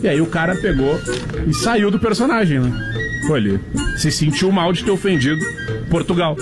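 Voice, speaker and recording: Radio co-host, high animated guy from Brazil, rambling storyteller, music behind. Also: normal speed. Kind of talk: telling a story on air.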